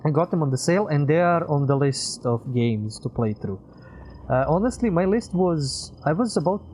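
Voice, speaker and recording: A man's voice: medium pitch, dark tone, a little hiss or hum, poor audio. A man doing casual live game commentary as a streamer, chatting with viewers and rambling as he thinks aloud.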